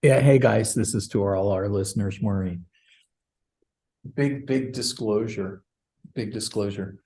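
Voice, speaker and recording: A man's voice: fairly low pitch, measured pace, slightly rough. A middle-aged man co-hosting a casual podcast, rambling with frequent fillers and laughs. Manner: rambling, warm, laughs between words. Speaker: podcast co-host.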